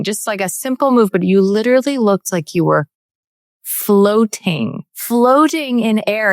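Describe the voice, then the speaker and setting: A woman's voice: deep voice, no echo, clean studio sound. A middle-aged woman hosting a podcast interview, talking in an effusive, rambling way full of "like" and "right". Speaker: podcast host. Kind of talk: interview introduction.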